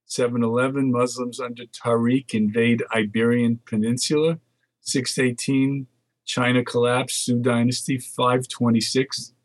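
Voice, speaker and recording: Older man from the Netherlands, mid-pitched, dry recording, casual guest talk, reads dates aloud. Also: no echo. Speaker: market research guest. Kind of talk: casual phone interview chat.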